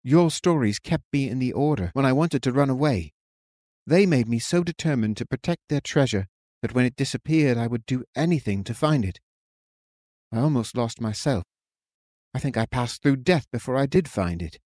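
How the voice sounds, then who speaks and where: A man reading an audiobook, voicing an earnest young warrior son speaking gently to his father. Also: medium pitch, lively intonation, moderate pace, no echo, studio quality, pitched above the performer's own voice, close to an everyday voice. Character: young male warrior returning home, earnest. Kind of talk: audiobook character voice.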